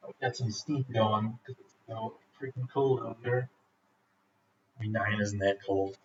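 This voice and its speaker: A man's voice: fairly low pitch, fairly even intonation, noisy background. Rambling man on a lo-fi live stream. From Michigan, USA. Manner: rambling, halting, many fillers, laughs often. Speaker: homebrewer livestreaming a brew day. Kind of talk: casual live-stream chat. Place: home brewing setup in Denmark, rainy day. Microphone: streaming camera and laptop. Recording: roomy, distant, dull lo-fi sound with faint ambience.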